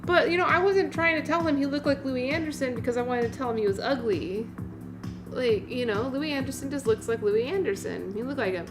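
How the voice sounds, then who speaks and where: A woman's voice: sits high, fairly quick, music in the background. A woman in her late thirties chatting casually and informally as a livestream host, in a rambling, exclamatory way. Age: late 30s. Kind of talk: casual livestream chat responding to viewers.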